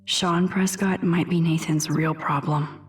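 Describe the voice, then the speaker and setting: A young woman with an American accent voicing an introspective, wry teenage student in a video game, musing to herself in a hushed voice. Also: deep voice, steady tone, a little slow, soft background music. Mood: pensive, sardonic. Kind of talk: game character thinking aloud.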